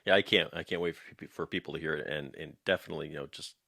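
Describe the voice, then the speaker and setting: A man with a deep voice hosts a casual interview. He chats informally as a producer, and the sound is dry with no room around it. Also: steady tone, racing, creaky, pushed and bright, no echo. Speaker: interviewer and audio drama producer.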